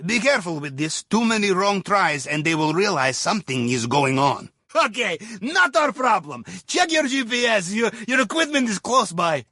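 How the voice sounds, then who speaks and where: An actor voicing a wry, jovial Russian submarine captain who guides heists in a video game, with a Russian accent and a husky voice. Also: male voice, sits high, sing-song, normal speed, hardly any pauses, bright, forceful tone, dry recording, clean studio sound, heavily acted. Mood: brisk, instructive. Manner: wry, playful, conspiratorial asides. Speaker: mission guide character in an action video game. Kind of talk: scripted video game mission voice lines.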